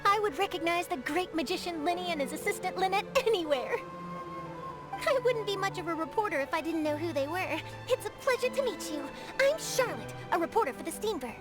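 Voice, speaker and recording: An actress playing a bubbly, ambitious young woman reporter in an animated game, gushing and dramatic. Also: extremely high pitch, brisk, music in the background. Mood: delighted.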